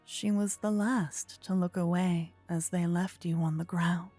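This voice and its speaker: Woman narrator, dark mellow tone, breathy, measured, scripted fantasy storytelling, background music. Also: fairly low pitch, steady tone. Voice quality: breathy, whispery. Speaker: narrator and voice actor. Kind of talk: scripted second-person fantasy narration, soft ASMR.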